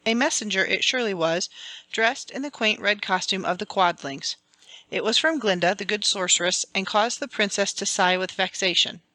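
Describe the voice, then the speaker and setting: A woman's voice: medium pitch, moderate pace, sharp tone, dry recording. A woman reading a children's fantasy storybook aloud as scripted, dramatic audiobook narration. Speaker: audiobook reader.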